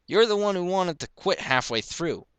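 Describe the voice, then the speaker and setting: A man's voice: high-pitched, animated, moderate pace, fairly bright tone, smooth, dry recording, pitched above the performer's own voice. A man voicing a feisty, playful young country girl pony who pleads and protests in a folksy way. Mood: scornful.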